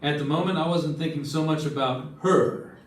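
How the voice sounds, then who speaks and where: A man playing a hard-nosed, wry private investigator in an old-time radio drama, speaking in a measured, needling deadpan. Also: fairly high pitch, close to an everyday voice. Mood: needling, cool. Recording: distant, echoey stage with background noise.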